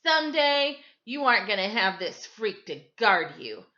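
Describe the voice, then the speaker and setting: Storybook bully boy, played by a woman reader: sneering, mocking, forceful and bright. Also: medium pitch, sing-song, normal speed, highs cut off. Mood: snarling threat. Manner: taunting, sneering. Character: mean schoolboy bully, mocking and snarling.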